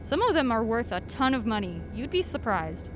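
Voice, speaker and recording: An actress playing a practical, breezily unflappable traveler with brisk banter in a comic radio drama. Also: female voice, a little high, very animated. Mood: curious, breezy. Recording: clear vehicle noise behind.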